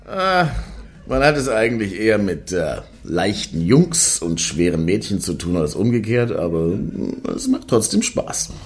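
A man's voice: fairly low pitch, sing-song, a little slow. An actor playing a flippant, sneering man with a jovial, sleazy swagger in a German radio play. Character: flippant, mocking male acquaintance with sleazy swagger.

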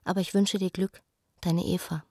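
A woman's voice: on the low side, level tone, moderate pace, a little mellow, slightly rough, no echo, studio quality. An actress playing a young woman who reads out her anguished letter to a friend in a radio drama, intimate, reflective and bitter. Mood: tender longing.

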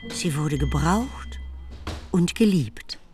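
An actress playing a novelist narrator, fond of her heroine, articulate and measured in an audio drama. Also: low-pitched, animated, darkish tone, faint music behind. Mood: composed, fond.

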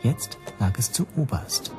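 An actor playing an anxious man who narrates his own story in a radio drama, measured and introspective. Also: mellow, soft background music, natural voice. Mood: dejected. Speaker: first-person narrator.

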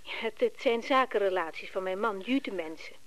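A woman's voice: mid-range voice, lively intonation, normal speed, fairly bright tone, natural voice, rough recording. An actress playing a bold, flirtatious and calculating wife of a wealthy businessman in a radio play, coaxing and forward. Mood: flirtatious, inviting. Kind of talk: Dutch radio play acting.

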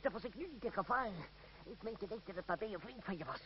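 An actor playing a desperate, ruthless fugitive in an old radio play, commanding and curt. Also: male voice, high-pitched, lively intonation, fast talker. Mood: bitter, persuading. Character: desperate, ruthless male fugitive threatening a ferryman. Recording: noisy, dull old radio play recording.

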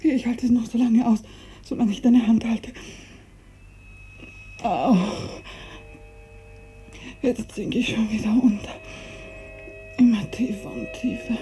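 An actress playing a gravely ill, bedridden woman in a 1950s radio drama, fearful and imploring, her weak, halting voice often sinking to a whisper. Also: fairly high pitch, steady tone, dark tone, faint music behind. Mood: fading, frightened. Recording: muffled 1950s radio recording.

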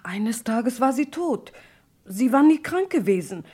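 Grieving woman, mellow and high. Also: sing-song, measured pace, breathy edge, full character voice. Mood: grave, sorrowful. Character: grieving, earnest unmarried woman reporting to police. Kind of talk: German radio crime play acting.

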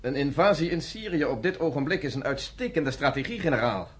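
An actor playing a dutiful, proud lieutenant in a radio drama, formal and soldierly. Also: male voice, a little high, sing-song, fairly quick, slightly rough. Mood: quietly defiant. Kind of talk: Dutch radio drama acting.

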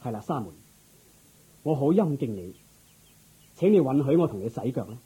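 A humble yet dignified king in Cantonese radio drama. He speaks courteously in a husky, very dark voice that moves expressively up and down. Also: mid-range voice, sing-song, normal speed, rough recording. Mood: reverent. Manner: stately, courteous.

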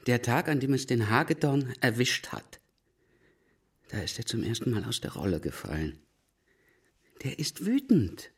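A man's voice: mid-range voice, sing-song, a little slow, long pauses, husky, exaggerated voice. A man acting a police detective in a crime audio drama, dry and pointedly questioning. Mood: musing. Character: male police detective, probing, dryly insistent.

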